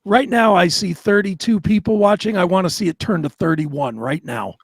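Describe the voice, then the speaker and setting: A man with a raspy voice co-hosting an unscripted talk show, arguing in a crude, defiant, profane way. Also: high voice, moderate pace, no echo, studio quality.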